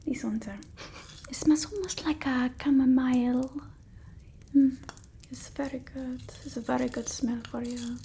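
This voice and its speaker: A woman playing a bossy, fussy old-world vampire mistress in an ASMR roleplay, whispering slowly and breathily. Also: high-pitched, fairly even intonation, slight background noise. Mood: soothing, fussy. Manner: slow, hushed, haughty, fussing over the listener.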